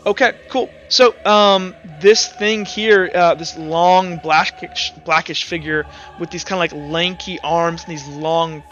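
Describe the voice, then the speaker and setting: A man running a tabletop roleplaying game as game master in a casual, halting way, thinking aloud as he narrates. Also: a little high, fairly even intonation, fairly bright tone, dull audio, soft background music.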